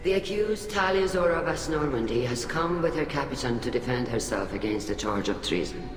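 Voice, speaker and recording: A man's voice: medium pitch, animated, lightly acted. Man acting a solemn presiding admiral, cavernous echo. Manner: formal, ceremonial, deliberate. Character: grave, measured male alien admiral presiding over a trial. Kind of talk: video game voice acting. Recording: echoey hall, music behind.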